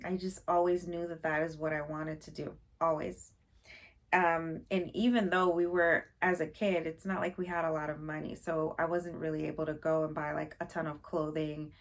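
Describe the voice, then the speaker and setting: A woman's voice: deep voice, little pitch movement, moderate pace. A young woman from Haiti, chatting casually to camera in her first vlog, a little nervous and full of fillers. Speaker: vlogger.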